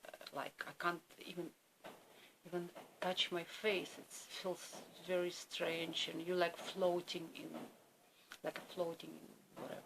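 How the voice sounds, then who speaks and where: A Ukrainian woman whose first language is Russian talks in a subdued, weary video diary, halting and searching for her words. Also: on the low side, flat delivery, creaky, background noise. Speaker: vlogger.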